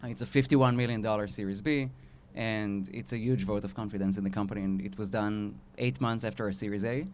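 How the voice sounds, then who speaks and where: A man with an Israeli accent, a startup founder speaking at a live event, earnest and measured with pauses and restarts. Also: lowish voice, steady tone, a little mellow, slight background noise.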